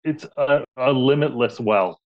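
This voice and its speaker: A man's voice: medium pitch, unhurried, highs cut off. A man co-hosting a music interview, reflective and a little hesitant. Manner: reflective, hesitant fillers, laughs. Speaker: podcast co-host. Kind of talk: casual interview chat.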